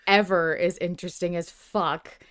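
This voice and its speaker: A woman's voice: on the low side, fairly even intonation, moderate pace, crowd noise. Effusive woman, casual podcast co-host. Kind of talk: casual fan discussion chat.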